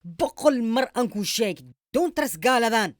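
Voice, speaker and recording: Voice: mid-range voice, lively intonation, a little slow, no room sound, clean studio sound, natural voice. A performer voicing a stubborn, naive puppet man with a Somali accent, blunt and insistent, in a comedy puppet show. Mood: worried, pleading.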